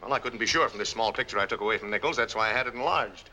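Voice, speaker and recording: An actor playing a brisk, insistent male investigator in a 1940s film noir, blunt and probing. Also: on the low side, sharp tone. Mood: matter-of-fact. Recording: vintage film soundtrack, faint noise.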